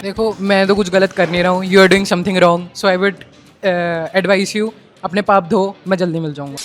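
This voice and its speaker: A man's voice: sits high, steady tone, normal speed, faint music behind. A young man interviewing students with a joking manner, switching between Hindi and English. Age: young adult. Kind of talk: casual chat.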